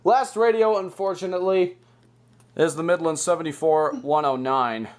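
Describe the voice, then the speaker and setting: A man's voice: on the high side, normal speed. A man casually showing off a hobby collection in an offhand, unscripted vlog style. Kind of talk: casual show-and-tell vlog. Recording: loud background noise, clicks.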